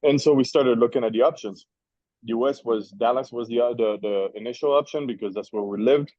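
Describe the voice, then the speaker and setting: A man from Switzerland, a guest at a lunch interview, telling a long story in a relaxed, rambling way with many restarts. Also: mid-range voice, fairly quick, no echo, muffled recording. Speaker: interview guest, cigar maker. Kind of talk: casual lunch chat.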